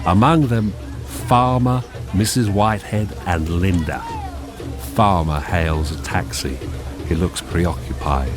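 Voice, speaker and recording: Deep, slow male drama narrator. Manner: measured, descriptive. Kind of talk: scripted radio drama narration. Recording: music clearly behind. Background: music.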